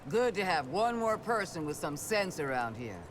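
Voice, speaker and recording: An actor playing an exasperated teammate in a video game, with a dry, needling manner. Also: male voice, on the high side, sing-song, moderate pace, noisy background. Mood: wry, concerned. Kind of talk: video game character dialogue.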